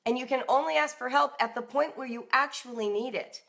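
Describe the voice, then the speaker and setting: A woman's voice: high-pitched, brisk, vocal fry, fairly bright tone. A middle-aged American woman coaching parents in an informal live talk, speaking directly and emphatically as if in conversation with her listeners. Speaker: parenting and homeschool coach, live broadcast host.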